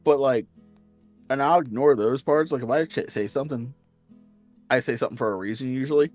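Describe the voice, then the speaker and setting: A man's voice: medium pitch, a little fast, darkish tone, faint music behind. A man with a slight Southern accent, chatting casually as a stream guest and often restarting his sentences. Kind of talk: casual chat.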